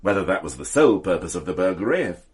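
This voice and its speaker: Husky, stern police investigator, swooping delivery. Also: male voice, deep voice, very animated, quick pace, exaggerated voice. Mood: formal, curt. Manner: dry, pointed questioning. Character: stern, dogged male police investigator, sardonic and blunt. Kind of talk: radio drama acting.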